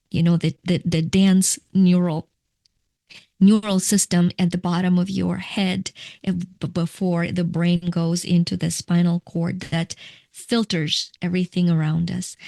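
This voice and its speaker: A middle-aged woman from Ukraine speaks in a fairly low voice with a gravelly edge. She is a coach giving reflective, explanatory interview answers and sometimes restarts her phrases. The sound is dry and close. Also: darkish tone, no room sound. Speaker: guest, coach.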